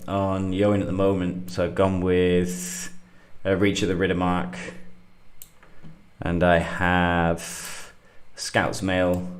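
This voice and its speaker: Low-voiced middle-aged man, slightly sniffly. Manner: halting, thinking aloud with many fillers. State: getting over a cold, slightly sniffly. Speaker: gaming livestream host. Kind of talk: casual gameplay commentary.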